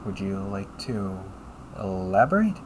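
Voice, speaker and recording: A man playing a polite, caring A.I. companion in an audio roleplay, speaking in measured, formal phrases and often checking with a questioning tag. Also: low-pitched, very animated, background noise. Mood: curious. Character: polite, caring male A.I. companion, gently logical and encouraging. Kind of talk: audio roleplay addressed to the listener.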